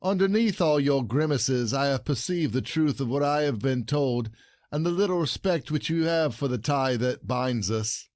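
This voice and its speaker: A man's voice: mid-range voice, animated, normal speed, darkish tone, no room sound, close to an everyday voice. A man playing an indignant, proud, jealous husband in a classic comedy audio play, scolding in formal old-fashioned phrasing.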